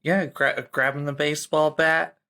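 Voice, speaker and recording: A man playing a tabletop game, narrating casually with a loose, halting, joking manner. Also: fairly high pitch, little pitch movement, vocal fry, pushed and bright. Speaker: tabletop roleplay player.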